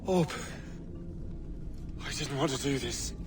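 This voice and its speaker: An actor playing a determined, brooding assassin turned sea captain in a video game, terse and resolute. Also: male voice, mid-range voice, slow, noisy background, natural voice. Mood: grim. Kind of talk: dramatic video game acting. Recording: game music behind the voice.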